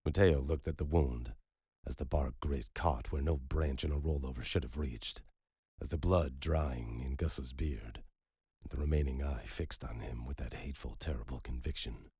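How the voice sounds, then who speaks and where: Male audiobook narrator, very deep voice, husky, measured, dry recording. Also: warm tone, no echo. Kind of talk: scripted narration.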